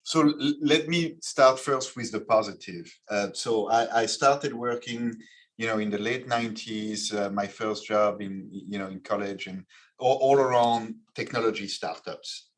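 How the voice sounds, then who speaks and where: Guest, forties, man from Belgium, measured, restarts often. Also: lowish voice. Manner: measured, with frequent restarts and fillers. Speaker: guest, finance executive. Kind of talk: podcast interview answers.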